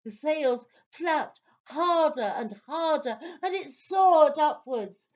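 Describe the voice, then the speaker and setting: A woman narrating a scripted children's story in an expressive, dramatic way. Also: high-pitched, slow pace, highs cut off, a little hiss or hum.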